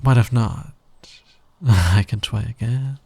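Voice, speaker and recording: A man playing a smug, flirty fantasy villain in an intimate ASMR roleplay, breathy and teasing, often sinking toward a whisper. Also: mid-range voice, mellow, dry recording. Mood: playful, tender. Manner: teasing, slow, deliberate, dominant. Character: flirty, smug male fantasy villain, patient, smitten with hero. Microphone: close microphone, dry sound.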